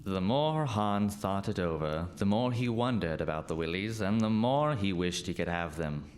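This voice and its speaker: A man narrating a spooky radio play in a measured, dramatic storytelling style. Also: low-pitched, animated, a little mellow. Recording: faint background noise.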